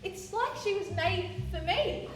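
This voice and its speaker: A woman's voice: very high-pitched, expressive, a little slow, background noise. A woman playing a driven, stubborn young woman who runs a garage, emphatic and self-assured, in a stage radio play. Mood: determined, proud. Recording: echoey theatre, distant mic, music underneath.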